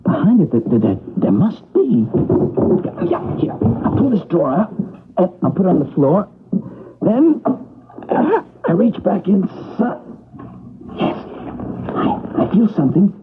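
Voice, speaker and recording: An actor playing an earnest, anxious young husband in a vintage radio drama. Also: male voice, sits high, big pitch swings, unhurried, pauses often, background noise. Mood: urgent. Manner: earnest, urgent, puzzled. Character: anxious young husband investigating his uncle. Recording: old radio broadcast, narrow band.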